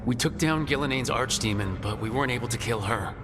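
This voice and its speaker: An actor as an earnest hero, echoing space. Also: male voice, mid-range voice, fast talker, background noise, close to an everyday voice. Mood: troubled, weary. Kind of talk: scripted fantasy game dialogue. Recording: long reverb, music behind.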